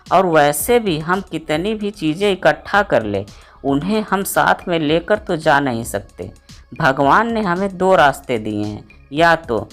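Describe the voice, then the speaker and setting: A thoughtful middle-class man from a moral storybook tale, read by a woman. The voice is low and sincere, with a kind-hearted, reflective temper. Music sits faintly behind it.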